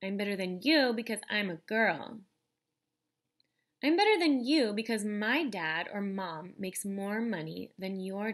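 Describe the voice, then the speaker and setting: A young woman reads a picture book aloud as a teacher would for small children. Her pace is slow and deliberate, and her pitch sits in the middle range. She is expressive and speaks straight to the young listener.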